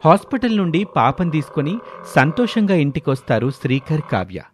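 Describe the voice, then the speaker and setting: A man's voice: a little high, big pitch swings, moderate pace. A man narrating a scripted moral story as a storyteller.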